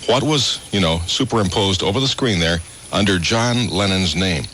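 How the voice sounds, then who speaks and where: A man's voice: sits low, normal speed, a bit bright, a little hiss or hum. A man hosting a classic rock morning show on the radio, bantering in a joking, teasing way. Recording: 1980s AM radio aircheck, music behind.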